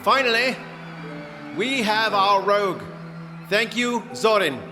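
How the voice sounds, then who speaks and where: A man's voice: high voice, lively intonation, slow pace. An actor playing a proud fantasy duke in an audio drama, formally proclaiming to his citizens. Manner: formal, declamatory. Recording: long hall reverb over music.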